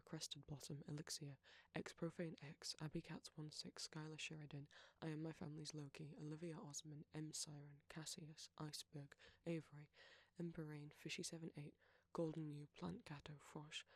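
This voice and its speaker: Audio creator, husky breathy voice, soft-spoken, flat recitation of names, dry sound. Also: medium pitch, dry recording. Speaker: audio creator thanking supporters. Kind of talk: reading out a list of names.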